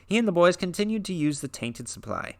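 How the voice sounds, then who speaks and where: A young man giving a dramatic reading of a horror story, swinging from hushed whispers to intense raised lines, with casual, sarcastic asides in between. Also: high-pitched, very animated, brisk, gravelly. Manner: casual and filler-heavy when recapping, with sarcastic asides. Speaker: reader and reviewer.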